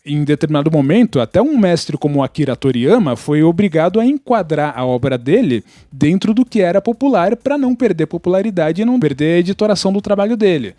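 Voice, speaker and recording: Higher-voiced Brazilian man, mellow and dry. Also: expressive, fairly quick, hardly any pauses, no room sound. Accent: Brazilian Portuguese. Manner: opinionated, explanatory. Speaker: podcast participant. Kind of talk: casual podcast discussion.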